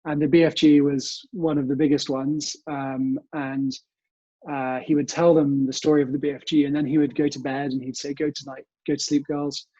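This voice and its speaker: Husky, mellow young British man. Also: a little high, level tone, normal speed, no echo, highs cut off. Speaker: interview guest. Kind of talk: conversational interview answers, reminiscing. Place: remote recording from home.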